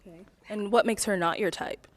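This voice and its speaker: Woman hosting a dating game. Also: mid-range voice. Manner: upbeat, quick little laughs between questions. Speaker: dating game show host. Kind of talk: casual hosting, asking contestants questions.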